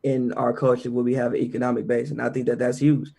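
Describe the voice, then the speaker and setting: A man co-hosting a podcast, calmly pressing his point and saying "like I said" over and over. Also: mid-range voice, creaky. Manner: measured, keeps repeating "like I said". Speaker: podcast co-host. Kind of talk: podcast debate.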